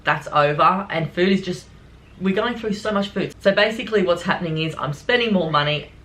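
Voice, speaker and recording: A middle-aged woman with a croaky voice from a cold, chatting casually and rambling to her viewers about groceries. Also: fairly low pitch, brisk, a bit bright. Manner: chatty, rambling, many fillers. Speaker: vlogger. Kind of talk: casual chat to camera.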